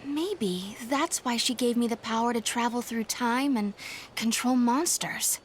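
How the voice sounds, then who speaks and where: An actress playing an earnest, hopeful young heroine in a video game cutscene. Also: female voice, on the high side, unhurried, slight background noise, natural voice. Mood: worried. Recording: music behind the voice.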